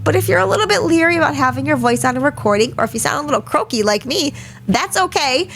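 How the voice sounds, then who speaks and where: A woman hosting a live webinar, a little hoarse from a cold, who talks in a chatty way and greets many attendees by name. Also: high voice, animated, fast, a bit bright. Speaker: webinar host. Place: small studio. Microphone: studio mic.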